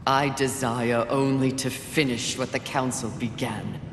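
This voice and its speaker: An actress playing a proud, scornful female horseman of the apocalypse in a video game, speaking gravely and formally. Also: rumbling low, fairly even intonation, measured pace. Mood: resolute. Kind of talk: dramatic video game acting. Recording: echoey reverberant hall, noisy backing.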